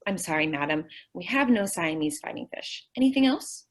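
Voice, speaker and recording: A woman's voice: fairly low pitch, expressive, normal speed. A woman playing a sweet, naive, scatterbrained young shop clerk in an old-time radio comedy, earnest and guileless in her delivery. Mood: naive, cheerful. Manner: earnest, guileless, literal-minded.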